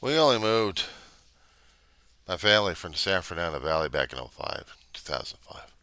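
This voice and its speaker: A middle-aged American man with an extremely deep voice that still has a bright, forceful edge. He rambles casually in plain-spoken fashion, halting often as he thinks aloud. The sound is dry and close, with very little room. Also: big pitch swings, no room sound. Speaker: vlogger.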